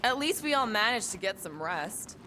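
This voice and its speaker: A woman's voice: medium pitch, very animated, moderate pace, noisy background, close to an everyday voice. A woman playing a guarded, skeptical warrior crewmate in a video game, dry and challenging in manner. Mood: wary.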